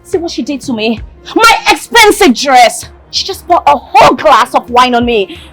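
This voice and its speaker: A woman playing a shrill, furious rich girlfriend. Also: sing-song, moderate pace, fairly bright tone, soft background music. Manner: screaming insults, shrill and furious. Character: haughty rich young woman, hot-tempered. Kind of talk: skit acting.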